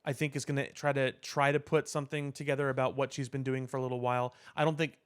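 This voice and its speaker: A man's voice: mid-range voice, flat delivery, quick pace, smooth. A man co-hosting a podcast in casual discussion, explaining his thoughts as he reasons them out aloud. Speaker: podcast co-host.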